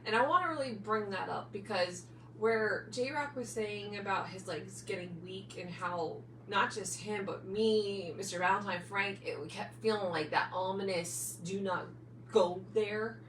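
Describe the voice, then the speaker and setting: An echoey, off-mic recording with faint noise carries an American woman's casual podcast chat. She speaks earnestly and with emphasis, at a middling pitch. She is from New Jersey. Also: normal speed, a bit bright, far from the mic. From New Jersey, United States. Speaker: podcast co-host, paranormal investigator. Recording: echoey room, off-mic, faint background hum.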